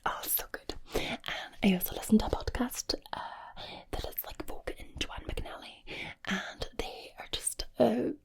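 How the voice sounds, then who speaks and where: A woman plays a brisk, chatty Irish woman, whispering quickly. The words are crisply formed at the front of the mouth, in ASMR style. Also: fairly high pitch, exaggerated voice. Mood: brisk, enthusiastic.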